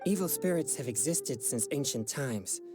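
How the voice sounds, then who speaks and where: A man's voice: medium pitch, animated, moderate pace, natural voice. Earnest, formal young exorcist, played by a man, music behind. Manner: formal, courteous, apologetic. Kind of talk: video game voice acting.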